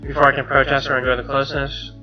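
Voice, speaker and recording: A man doing an expressive read-aloud of a game's narration, with casual asides. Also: mid-range voice, rapid-fire. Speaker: gamer reading a visual novel aloud. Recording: roomy, dull lo-fi mic. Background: game music.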